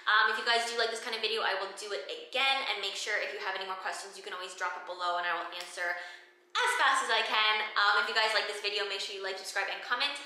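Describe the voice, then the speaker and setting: A woman's voice: medium pitch, fairly even intonation, fast talker, bright, forceful tone. Chatty young woman in an echoey room. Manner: chatty, upbeat, frequent "um" and "like". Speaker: fitness creator. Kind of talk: casual Q&A vlog. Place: home gym room with windows.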